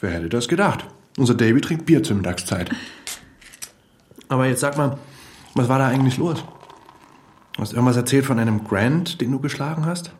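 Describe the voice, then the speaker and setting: A man's voice: medium pitch, animated, normal speed, pauses often, darkish tone, slight background noise, close to an everyday voice. Actor as blunt, needling male buddy. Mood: nosy, teasing. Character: blunt, prying, teasing male friend who drinks. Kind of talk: German radio play dialogue.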